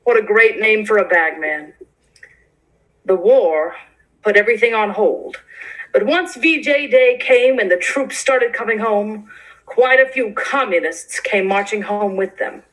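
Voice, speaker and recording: A self-satisfied 1940s Hollywood publisher acted by a woman holds forth in a theatrical monologue. The delivery is conversational and boastful, with a wry confiding edge and a medium-pitched voice. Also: measured pace, fairly bright tone, lightly acted, rough recording. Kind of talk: theatrical monologue in a staged play reading.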